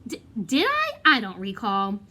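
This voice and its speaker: A woman's voice: mid-range voice, huge pitch swings, measured pace, pushed and bright, heavily acted. A woman voicing a vain, cowardly male professor in a storybook, stammering and evasive. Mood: flustered.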